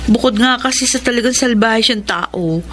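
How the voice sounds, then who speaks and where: An actress playing a poor, sensible and protective older orphan sister in a radio drama, speaking earnestly. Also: female voice, fairly high pitch, moderate pace, fairly bright tone, lightly acted. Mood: resigned, explaining. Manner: earnest, explaining. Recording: clear background ambient noise.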